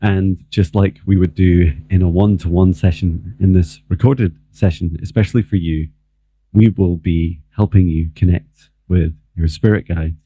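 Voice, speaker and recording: A man leading a guided meditation as a spiritual mentor, speaking in a slow, calm, measured way with long pauses. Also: low voice, breathy edge, no echo. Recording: muffled, phone-like narrow band, dry.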